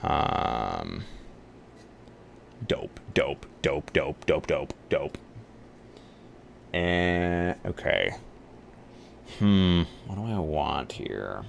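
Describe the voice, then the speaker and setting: A man with a very low voice and plenty of fry chats casually as he streams a tabletop roleplaying game. He mulls things over out loud and banters freely, with noticeable noise behind him. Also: sing-song, drawn-out words, pauses often. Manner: thinks aloud, banters with profanity. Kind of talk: casual livestream chat while building a game character. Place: live online game stream. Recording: clear background noise.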